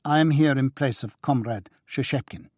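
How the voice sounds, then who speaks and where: A man's voice: medium pitch, moderate pace, a little mellow, no room sound, clean studio sound, voice pushed up, natural voice. A man reading a stern, curt, businesslike woman who serves as a secret contact in an audiobook.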